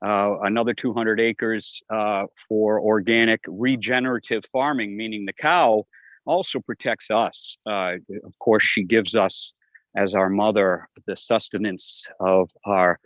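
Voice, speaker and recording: A man with a lowish voice calls in and speaks in halting bursts, filling gaps with "uh". The audio is dull and lo-fi, with a dry, close sound. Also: steady tone, fairly bright tone, dry recording. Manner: halting, many "uh" fillers. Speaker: remote caller. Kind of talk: casual call-in remarks.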